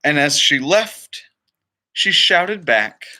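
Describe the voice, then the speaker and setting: A man narrates a children's picture book in a very bright, forceful voice. His pitch sits a little high and swings widely, and he phrases things dramatically at an unhurried pace. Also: big pitch swings. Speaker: storybook reader. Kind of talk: expressive children's read-aloud narration with casual asides.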